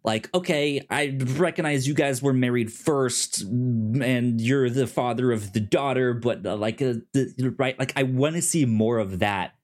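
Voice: mid-range voice, measured pace, hardly any pauses, darkish tone. A podcast co-host chatting casually about television, stammering and often repeating sounds and words. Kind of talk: casual TV discussion chat.

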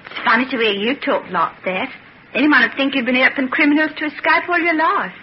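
A woman's voice: sits high, brisk, natural voice. An actress playing a wary, streetwise woman thief in an old-time radio serial, blunt, slangy and suspicious. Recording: muffled, noisy old radio recording.

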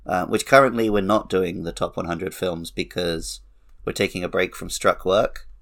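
Male podcast co-host, low and gravelly, relaxed conversational style. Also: moderate pace. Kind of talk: casual podcast discussion.

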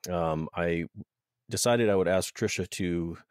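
Brief: deep-voiced male podcast host, playful and rambling, dry close-mic sound. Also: a little slow, clear, resonant tone, no room sound. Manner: joking, rambling, self-interrupting. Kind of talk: casual podcast chat.

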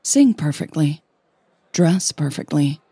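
A woman narrating scripted audio fiction in a measured storytelling manner, phrase by phrase. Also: deep voice, expressive, mellow, husky, no room sound, clean studio sound. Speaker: podcast host and narrator.

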